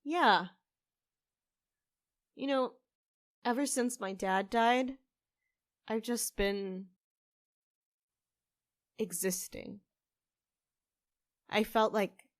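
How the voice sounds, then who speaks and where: A woman's voice: medium pitch, very slow, long pauses, no room sound, studio quality. A woman playing an earnest, hopeful young witch who runs a cafe, in a conversational audio drama style. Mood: earnest, wistful.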